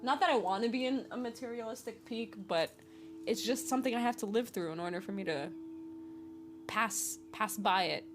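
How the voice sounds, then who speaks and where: A woman's voice: on the high side, moderate pace, over music. A woman in her twenties hosting a podcast, talking alone in a rambling, playful way and laughing often. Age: 20s. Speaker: podcast host. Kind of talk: casual solo podcast monologue.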